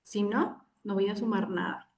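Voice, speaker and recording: A woman's voice: medium pitch, moderate pace, gravelly. A woman giving a workshop, explaining step by step with frequent fillers. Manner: steady, explanatory, with many fillers. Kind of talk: workshop talk. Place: conference room. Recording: echoey room, voice from far off.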